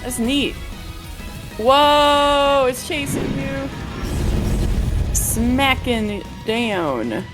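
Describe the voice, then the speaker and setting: A woman's voice: high-pitched, animated, very slow, pauses often. A woman streaming a video game, chatting casually and reading story dialogue aloud, with game music behind her.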